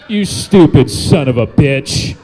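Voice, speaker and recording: Slow, dark-toned man doing stand-up. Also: a little high, low-quality recording. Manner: laughs at his own jokes, loose rambling delivery. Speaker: stand-up comedian and guest host. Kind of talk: stand-up comedy set. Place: comedy club stage.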